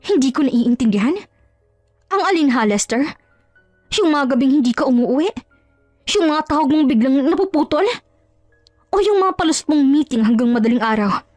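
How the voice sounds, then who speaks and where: A woman's voice: high voice, expressive, normal speed, pauses often, darkish tone, no echo, faint music behind, pitched above the performer's own voice. A woman voicing a betrayed wife in an audio drama, anguished, accusing and emphatic. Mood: furious. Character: betrayed wife, furious, anguished, accusing, confronting cheating husband.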